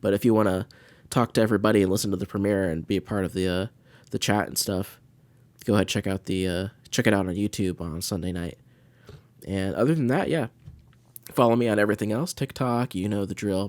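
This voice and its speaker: Deep-voiced man, casual podcast chat. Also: brisk, darkish tone, no echo. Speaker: podcast host. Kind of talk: casual unscripted chat to listeners.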